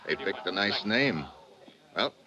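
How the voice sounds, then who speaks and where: Low-voiced American tough guy, vintage radio. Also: expressive, unhurried, a crowd in the background. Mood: flirtatious, smooth. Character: confident, wisecracking American secret agent posing as newsman. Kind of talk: 1950s hardboiled radio drama acting. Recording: dull, noisy vintage radio recording.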